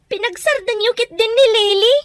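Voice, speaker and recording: A woman's voice: very high-pitched, normal speed, a bit bright, no echo. A woman playing a young eldest daughter in a radio drama, plaintive and reproachful under the burden of her family. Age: young adult. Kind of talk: Ilocano radio drama acting.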